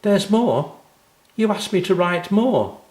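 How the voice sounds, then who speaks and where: A man's voice: high-pitched, sing-song, slow, darkish tone, echoey room, voice pushed up, exaggerated voice. A man voicing a cheeky, eager little schoolgirl in a children's story, with sing-song, emphatic delivery. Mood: eager, pleading.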